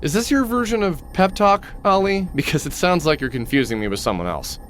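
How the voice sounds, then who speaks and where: A man's voice: sits high, expressive, a little fast, a bit bright, slight background noise. A man playing a sarcastic, nervous middle-aged thief in a video game, exasperated and full of grudging bravado. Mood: skeptical, resigned. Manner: sardonic, exasperated. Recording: music behind the voice.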